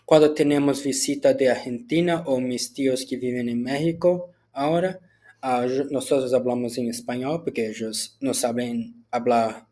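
Slow, halting man with a Brazilian Portuguese accent. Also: mid-range voice, darkish tone. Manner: halting, with frequent restarts and filler "ah". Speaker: student. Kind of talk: answering set questions in a spoken school assignment.

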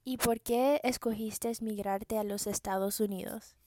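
Young woman interviewer, mellow tone, dry close mic, earnest. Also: medium pitch, fairly even intonation, measured pace, dry recording. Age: young adult. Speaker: interviewer, daughter, student. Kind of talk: reading prepared interview questions.

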